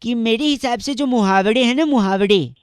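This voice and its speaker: A man's voice: very high-pitched, moderate pace, noisy background. A man making comic prank calls in a mock-polite, teasing and theatrical manner. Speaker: prank caller. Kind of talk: comic prank phone call.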